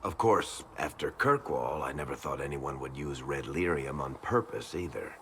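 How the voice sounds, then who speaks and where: An actor playing a worldly, plain-spoken adventurer in a fantasy game, casual and wry. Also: male voice, a bass voice, lively intonation, normal speed, a bit bright, a little hiss or hum, full character voice. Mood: wry, troubled. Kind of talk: casual dramatic game dialogue.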